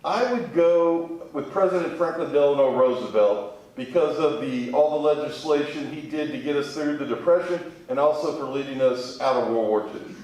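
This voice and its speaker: A man's voice: a little high, moderate pace, darkish tone. A man from Kentucky, speaking conversationally as an interview guest. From Kentucky, United States. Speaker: interview guest, museum sales manager. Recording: very echoey room, distant mic.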